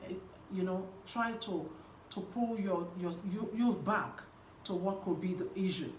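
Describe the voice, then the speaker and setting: A woman with a Nigerian accent giving a live online coaching talk as host, speaking emphatically and repeating key phrases. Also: lowish voice. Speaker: host and women's health coach. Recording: roomy echo with faint background noise.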